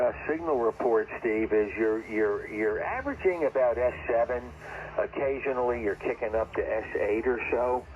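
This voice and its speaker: A man's voice: on the low side, darkish tone. A man chatting casually as an amateur radio operator over shortwave, rambling a little and circling back to repeat his points. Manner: rambling, conversational, repeats himself. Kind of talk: casual ham radio chat. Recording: muffled, noisy ham radio transmission.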